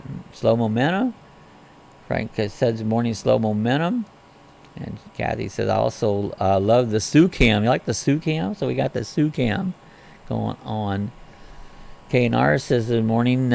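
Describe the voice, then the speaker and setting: A man with a crackly, hoarse voice hosts a relaxed morning live stream. His gravelly, fry-heavy tone sits at an ordinary middle pitch as he rambles on easily, greeting people one by one. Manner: easygoing, rambling, greets viewers by name. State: crackly, hoarse voice from a respiratory illness. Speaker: host of a morning live chat. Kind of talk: casual live-stream chat, reading viewer comments aloud.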